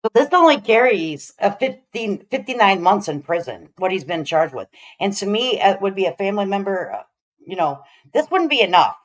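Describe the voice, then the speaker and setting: A woman's voice: medium pitch, big pitch swings, moderate pace, rough recording. A woman hosting a livestream, giving casual, emphatic and opinionated commentary on a case as she talks to her viewers.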